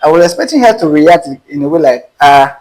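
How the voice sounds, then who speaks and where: A man's voice: on the high side, fast talker, rough recording. A man with a Nigerian accent, a guest animatedly telling a personal dating story and acting out the dialogue. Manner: animated, acts out quoted dialogue. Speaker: interview guest. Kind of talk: casual storytelling.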